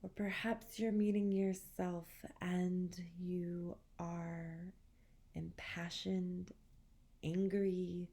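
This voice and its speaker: A woman leading a guided meditation as an instructor, speaking in a soothing, gentle, measured way with long pauses. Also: fairly low pitch, little pitch movement.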